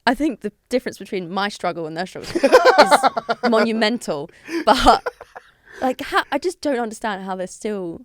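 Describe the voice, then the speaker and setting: A woman's voice: on the high side, normal speed, dry recording. Young woman guesting on a podcast, gets fired up and sweary, dry mic. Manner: animated, swears, gets heated. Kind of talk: casual chat.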